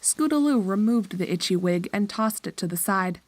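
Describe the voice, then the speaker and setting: A woman's voice: medium pitch, expressive, moderate pace, no room sound. A woman narrator reading a scripted horror story in a storytelling style.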